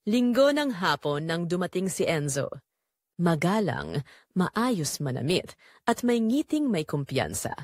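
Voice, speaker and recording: A woman's voice: lowish voice, sing-song, a little slow, darkish tone, no echo, clean studio sound. A woman playing a lonely middle-aged widowed mother who tells her secretly yearning story in an audio drama. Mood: bittersweet. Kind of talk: first-person dramatic audio story narration.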